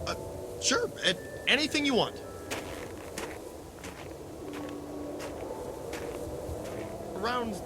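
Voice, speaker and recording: A man's voice: extremely high pitch, animated, noisy background. An actor playing a nervous, tipsy male driver who stammers and halts as he talks. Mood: dazed, amazed. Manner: halting, stammering. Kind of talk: acted audio drama dialogue.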